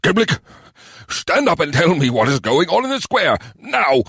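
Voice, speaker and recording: A man's voice: mid-range voice, big pitch swings, normal speed, a bit bright, breathy edge, no echo, studio quality, pitched above the performer's own voice, heavily acted. A man voicing a scheming, irritable goblin mayor in an audiobook, grumbling and giving orders. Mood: demanding. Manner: grumbling, commanding. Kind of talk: audiobook character voice.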